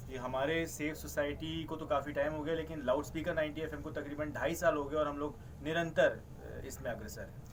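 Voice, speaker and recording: A man answers interview questions in a polite, explanatory way, addressing the interviewer as sir. His voice sits a little high. He sounds distant from the mic, with clear background noise. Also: steady tone, brisk. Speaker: radio programming head.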